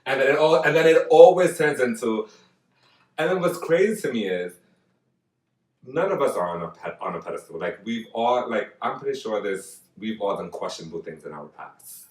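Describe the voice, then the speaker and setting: A man hosting a podcast, chatting casually in an animated, gossipy way and cursing freely. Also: mid-range voice, moderate pace, reverberant, recorded from a distance, low-quality recording. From Dominican family, learned Spanish first. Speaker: podcast host. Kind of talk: casual chat.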